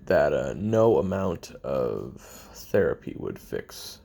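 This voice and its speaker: Sardonic assassin played by a man for confessional audio roleplay: heavy gravel and fry, deadpan, halting delivery that still swings in pitch. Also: a little hiss or hum, exaggerated voice. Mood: dry, sardonic. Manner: halting, deadpan, dryly self-deprecating. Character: weary, sardonic male assassin recording taped memoirs.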